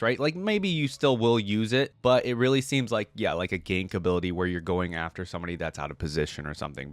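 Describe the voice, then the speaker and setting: A man's voice: on the low side, fairly bright tone, no room sound. A man hosting a reaction video, commenting casually and informally as he thinks aloud. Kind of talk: reads game text aloud between comments. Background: music.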